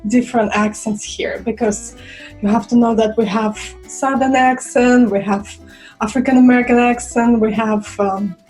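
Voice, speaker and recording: A young woman from Russia with a Russian accent, chatting casually to camera and pausing for words. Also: a little high, fairly even intonation, a little mellow, faint music behind. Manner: chatty, searching for words with fillers. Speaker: vlogger.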